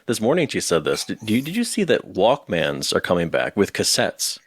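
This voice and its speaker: Animated, low-voiced man hosting a chat. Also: normal speed, slight background noise. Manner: animated, jokey. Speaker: interviewer, host. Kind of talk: casual chat interview.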